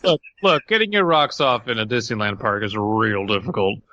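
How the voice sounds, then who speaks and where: A man's voice: on the low side, normal speed, sharp tone, dry recording, lo-fi sound. A man co-hosting a comedy podcast, with blunt, crude joking between bits of reading aloud. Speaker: podcast co-host.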